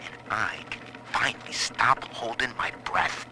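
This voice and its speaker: An actor playing a sneaky, jittery informant who gossips into an audio diary in a colloquial, sardonic way. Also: male voice, mid-range voice, expressive, measured pace, gravelly. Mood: sly, uneasy. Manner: colloquial, sardonic, wildly swooping. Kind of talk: dramatic audio-diary monologue. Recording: noisy old audio-log tape.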